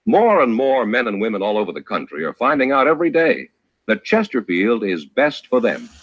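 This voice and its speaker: A man working as a radio announcer and pitchman, emphatic and deliberate in a 1950s announcing style. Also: wildly swooping pitch, fairly bright tone, no echo. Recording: dull old radio recording with music.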